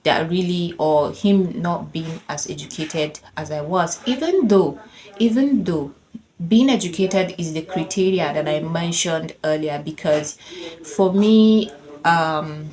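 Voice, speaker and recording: A woman's voice: fairly low pitch, slow pace, a little mellow, low-quality recording. A woman in her thirties with a Nigerian accent, a Yoruba speaker, telling a personal story in a chatty, casual way with lots of "like" and bursts of laughter. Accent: Nigerian English. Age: 30s. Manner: chatty and conversational, lots of "like", laughing while she tells it.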